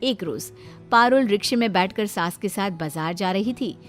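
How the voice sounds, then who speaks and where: A woman reading scripted storytelling narration for an animated moral tale. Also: medium pitch, normal speed, soft background music.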